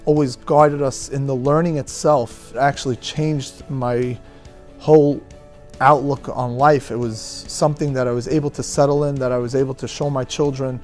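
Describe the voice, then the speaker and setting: A man's voice: medium pitch, flat delivery, normal speed, few pauses, a little mellow. A man giving a personal testimonial for a community video. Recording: music playing behind the voice.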